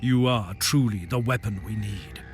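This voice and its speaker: An actor playing a zealous, imperious Inquisitor in a video game, dramatic and grave, with theatrical delivery. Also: male voice, fairly low pitch, unhurried, soft background music, close to an everyday voice. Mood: grave, intense. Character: commanding, zealous male Imperial Inquisitor with psychic powers.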